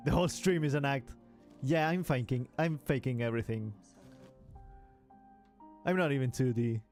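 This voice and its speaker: A chatty man from Spain streams a game and answers his chat in English, his second language. His voice sits at a middle pitch, loose and full of fillers. Faint game music plays under his talk. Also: moderate pace, darkish tone. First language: Spanish.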